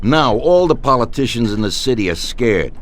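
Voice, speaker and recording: A man's voice: medium pitch, lively intonation, normal speed, close to an everyday voice. A man playing a coolly calculating crime boss in a video game. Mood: businesslike, commanding. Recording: loud music under the voice.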